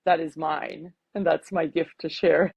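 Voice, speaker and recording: A woman's voice: deep voice, steady tone, a little slow, creaky, slightly rough, no echo, muffled recording. A middle-aged woman from Canada with a mixed accent, a life coach speaking enthusiastically as an interview guest. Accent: mixed, Canadian with hints of Armenian and British. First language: Armenian. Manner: enthusiastic, motivational, with frequent laughs. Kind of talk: interview conversation.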